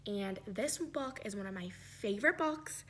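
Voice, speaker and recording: A woman's voice: medium pitch, lively intonation, unhurried, a bit bright, slightly rough. A woman teacher reading a children's storybook aloud, with sing-song chatty asides and questions to her young listeners.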